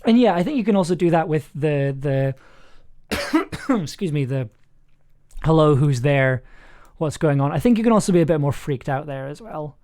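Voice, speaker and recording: A man's voice: a little high, expressive, normal speed, pauses often, a little mellow. A man hosting a livestream as writer and director, giving casual, encouraging feedback in a hesitant, rambling way. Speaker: host, writer-director of audio drama.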